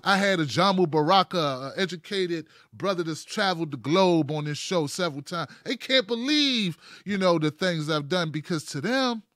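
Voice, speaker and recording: A man's voice: high voice, animated, normal speed, hardly any pauses, a bit bright, no echo, studio quality. A middle-aged American man hosting a talk show in casual, emphatic, slangy conversation. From United States. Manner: emphatic, slangy, addresses listeners as man, bro, guys. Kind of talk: casual, animated interview chat.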